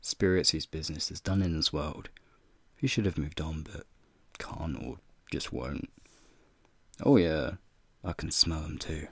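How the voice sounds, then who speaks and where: A man voicing a flirty, teasing male werewolf in an ASMR roleplay, with a deep, gravelly, husky voice and folksy slang. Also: a little slow, pauses often, fairly bright tone, no room sound, full character voice. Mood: ominous, hushed. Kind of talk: ASMR roleplay, speaking to the listener.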